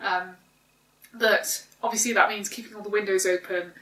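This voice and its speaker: A woman's voice: medium pitch, fairly even intonation, moderate pace, fairly bright tone. Woman, sniffly with hay fever, hesitant casual chatter, recorded roomy and a bit far off. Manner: hesitant, frequent ums. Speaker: co-host. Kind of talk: casual chat. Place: home living room sofa.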